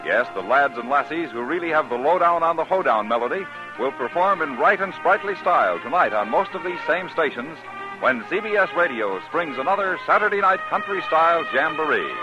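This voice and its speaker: A man's voice: on the high side, animated, brisk. An American man announcing on 1950s radio, reading a script in a polished, emphatic way. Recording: dull vintage radio broadcast, faint music.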